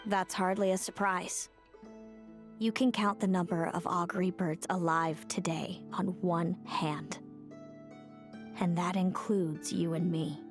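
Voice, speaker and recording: A woman's voice: fairly low pitch, steady tone, unhurried, music in the background. A woman playing a guarded, world-weary fae seer in a video game, cool, dry and ominous. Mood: bitter, aloof.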